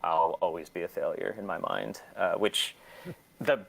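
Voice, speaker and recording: A man's voice: sits low, measured pace, vocal fry, fairly bright tone, breathy edge, low-quality recording. A man talking casually as an interview guest, rambling in a self-deprecating way and swearing freely. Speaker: interview guest, record label and shop owner.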